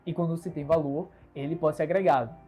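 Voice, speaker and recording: A man's voice: on the high side, fairly even intonation, fast, dark tone, soft background music. A man in his twenties with a Recife accent, chatting casually and earnestly to his audience in a solo livestream from his bedroom. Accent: Recife Brazilian Portuguese. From Recife, Brazil. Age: 20s. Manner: earnest, upbeat, self-assured, gestures a lot. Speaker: entrepreneur hosting his own channel. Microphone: smartphone camera.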